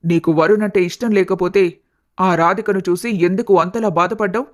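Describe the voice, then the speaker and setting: A mid-pitched female voice acted by a woman plays an old village grandmother in an animated tale. She is stern and direct, grave in manner, yet caring toward her family. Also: normal speed, close to an everyday voice. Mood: stern, probing. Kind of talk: animated family story dialogue.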